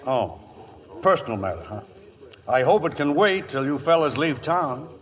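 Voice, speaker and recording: A genial frontier lawman, with an American drawl in a high, very animated voice. He is easygoing and folksy, yet firm about the rules, in old-time radio western style. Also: moderate pace. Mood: friendly, probing.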